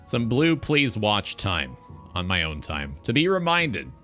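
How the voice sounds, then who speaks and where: A man's voice: fairly low pitch, huge pitch swings, unhurried, bright, forceful tone. A man hosting a casual livestream talk show, speaking in an earnest, reflective monologue with music playing behind him.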